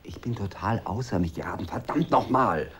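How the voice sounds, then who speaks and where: Distraught, exasperated man, low-voiced. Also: lively intonation, fairly bright tone, a little hiss or hum. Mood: angry, upset. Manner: halting, agitated, repeating himself. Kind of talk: German radio drama acting.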